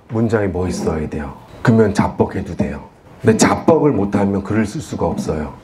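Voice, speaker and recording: A man's voice: fairly low pitch, darkish tone. A man in his forties, an author giving a talk to an audience, speaking earnestly and in a self-deprecating, confiding way. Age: 40s. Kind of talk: lecture to an audience. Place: lecture room at a book event.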